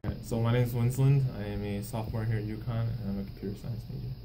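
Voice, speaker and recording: A man's voice: mid-range voice, steady tone, fast talker, a crowd in the background. A man in his twenties, talking loosely with lots of "like" in a casual interview. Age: 20s. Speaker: student interviewee. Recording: distant mic with noticeable background noise.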